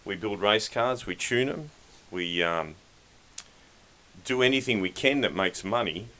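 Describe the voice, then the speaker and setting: Deep-voiced, bright co-host. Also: male voice, animated, measured pace. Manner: laid-back, self-deprecating. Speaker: podcast co-host. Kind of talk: casual podcast chat.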